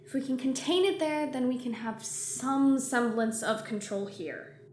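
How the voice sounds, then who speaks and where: A woman's voice: high-pitched, a little slow, darkish tone. A woman playing a sarcastic, slangy starship captain in a science fiction audio drama. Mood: decisive. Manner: casual, sardonic, slangy. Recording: roomy echo, faint noise.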